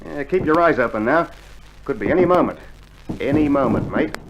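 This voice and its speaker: A man's voice: fairly high pitch, lively intonation, a little fast, lo-fi sound. An actor playing an earnest, irritable Australian infantryman itching for battle, with emphatic retorts, in a scripted mid-century radio drama. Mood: earnest, insistent. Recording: noisy old vinyl radio drama recording.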